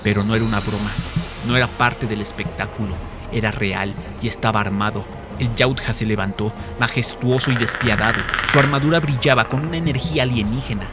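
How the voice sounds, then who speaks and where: A man's voice: lowish voice, fairly even intonation, a little fast. A man giving dramatic scripted narration of a story in a solemn, theatrical manner. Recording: music behind the voice.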